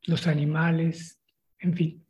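A man's voice: fairly high pitch, flat delivery, slow pace, a little mellow, breathy edge. A middle-aged man with a Colombian accent, introducing himself conversationally as an interview guest. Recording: dull lo-fi video call audio.

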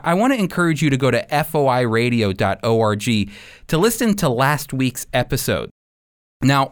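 Man, radio host and Bible teacher, animated intonation, mid-range pitch, emphatic delivery. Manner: emphatic, repeats key points for stress.

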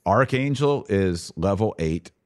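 A man's voice: on the low side, animated, measured pace, dry recording, clean studio sound. A man hosting an informal Bible question-and-answer podcast, explaining things in colloquial language with emphatic repetition. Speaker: podcast host.

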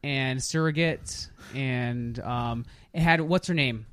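A man's voice: medium pitch, slow, a bit bright, dry recording. A man chatting casually as a podcast host reviewing films, opinionated and free with swearing. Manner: profane, opinionated. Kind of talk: casual film review chat.

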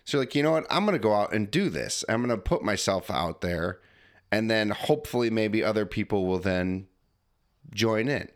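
Male podcast host, slightly nasal, low voice, lively and rambling. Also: normal speed. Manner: animated, rambling with fillers. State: a little nasal. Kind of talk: casual podcast chat.

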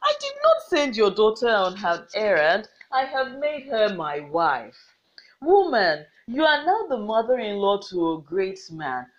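A woman's voice: sing-song, measured pace. A woman with a Nigerian accent voicing a pompous, boastful old male war chief. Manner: bragging, booming. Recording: slightly muffled lo-fi recording.

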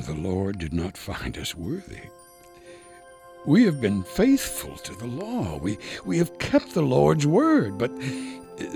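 A humble old priest, acted by a man in a biblical audio drama. He speaks slowly and haltingly with great swings of pitch and a gravelly edge, full of feeling. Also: a little mellow, exaggerated voice. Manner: halting, stammering, emotional. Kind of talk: dramatized Bible audio story acting.

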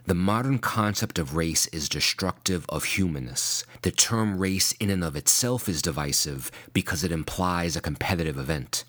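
Male preacher from New York, very low register, measured and emphatic delivery. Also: a bit bright. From United States (New York). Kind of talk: scripted sermon.

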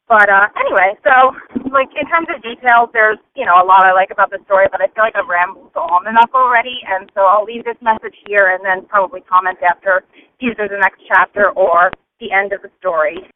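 A woman's voice: mid-range voice, slight background noise. A woman leaving casual, rambling listener feedback as a voicemail over the phone. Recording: tinny, band-limited phone voicemail.

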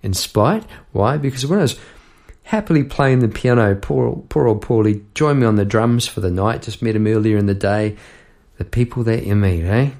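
A man's voice: fairly low pitch, moderate pace. A middle-aged man hosting a songwriting podcast, musing in a rambling, casual way with many restarts. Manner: rambling and warm-hearted, with frequent restarts and musing asides. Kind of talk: casual reflective podcast talk about songwriting. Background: guitar being played.

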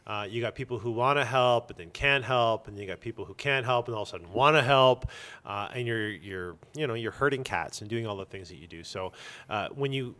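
A man of average pitch talks at length as an interview guest. He explains things in a conversational, emphatic way. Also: a little fast, sharp tone. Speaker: interview guest, comic shop owner.

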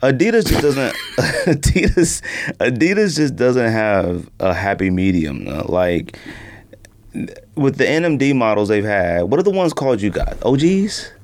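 A man's voice: mid-range voice, sing-song, unhurried, a little mellow. A man hosting a sneaker podcast in casual, unscripted chat, with a slangy, conversational way of talking.

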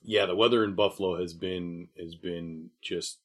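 Low voiced guy just chatting on a podcast, easygoing, laughs a lot, kinda mumbly at the ends. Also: fairly even intonation, normal speed. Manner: laid-back, laughs often, trailing off quietly. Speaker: podcast co-host. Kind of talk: casual chat.

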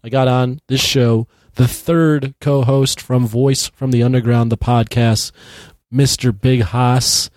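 Hoarse man hosting a podcast. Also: mid-range voice, fairly even intonation, takes it slowly, hardly any pauses, a little mellow, no room sound. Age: 30s. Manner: laughs often, stammers on restarts. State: raspy, losing his voice. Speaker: podcast host. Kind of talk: casual conversation.

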